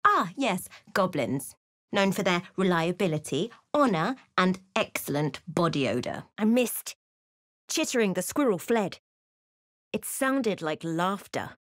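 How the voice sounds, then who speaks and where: A woman voicing an observant adventuress in a fantasy video game, with measured, literate, dramatic delivery and wry asides. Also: fairly low pitch, sing-song, a bit bright, no room sound, studio quality. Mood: dry, wry. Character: observant young adventuress, a fantasy game companion recounting her journey. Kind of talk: video game voice lines, dramatic narration and remarks.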